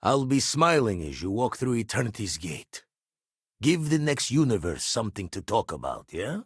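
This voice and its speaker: Game role: seasoned ex-pirate space explorer, gruff and wry, rough husky tone, very animated inflection, slangy turns of phrase. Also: male voice, fairly low pitch, normal speed, no echo, clean studio sound. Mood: steady, measured. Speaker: video game voice actor. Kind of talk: scripted game character dialogue.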